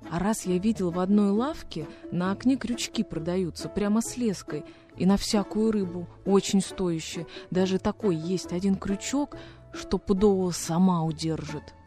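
A woman's voice: medium pitch, normal speed, mellow, soft background music, close to an everyday voice. A woman playing a homesick young peasant orphan boy in a radio drama, plaintive and naive, with rustic folk speech. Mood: naive wonder. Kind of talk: dramatic radio reading of a classic story.

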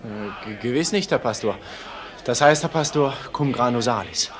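An actor playing an earnest, timid young tutor in a radio play, speaking hesitantly and deferentially. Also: male voice, medium pitch, expressive, moderate pace, background noise, natural voice. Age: young adult.

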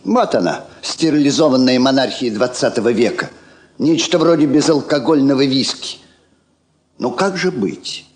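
A man's voice: medium pitch, lively intonation, moderate pace, a little mellow, close to an everyday voice. An older man, an actor playing a grumbling, self-mocking old king in a theatrical, ironic drawl. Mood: wry, grumbling. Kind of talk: Soviet stage drama acting.

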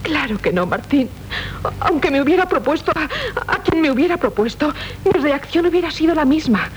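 A woman's voice: expressive, a little fast. An actress playing a proud, impetuous young noblewoman of medieval Castile in theatrical radio drama, with a Castilian Spanish accent. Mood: contrite, softened. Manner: haughty, impetuous, melodramatic. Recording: noisy, rumbling 1970s radio recording.

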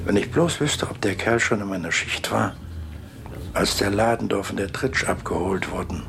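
A bitter, suspicious foreman brooding inwardly in a radio play. His lowish voice is creaky, with noticeable noise behind it. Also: normal speed. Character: suspicious, bitter factory foreman thinking to himself. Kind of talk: radio drama interior monologue.

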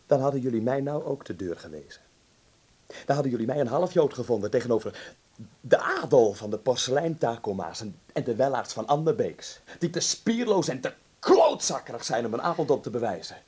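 An actor in a radio drama playing an anguished, idealistic wartime helper, intense, hushed and pleading. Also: male voice, medium pitch, big pitch swings, brisk, breathy edge. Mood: indignant. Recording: hissy old radio play recording.

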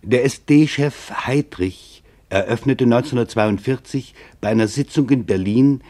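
A man narrating a scripted radio documentary play in a grave, deliberate manner with weighted pauses. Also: medium pitch, warm tone.

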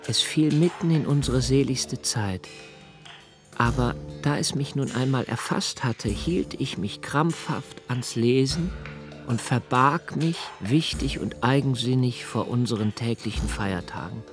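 An actor playing a brooding young male poet, narrating a radio play in a measured, solemn reading over faint music. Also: mid-range voice, natural voice. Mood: reflective.

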